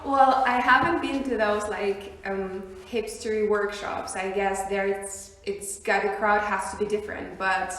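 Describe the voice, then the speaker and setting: A woman's voice: mid-range voice, steady tone, measured pace, few pauses, a bit bright. A young woman from Siberia with a Russian accent, chatting as a podcast guest, hesitant with many ums and frequent laughs. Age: young adult. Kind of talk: casual interview chat. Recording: very echoey room.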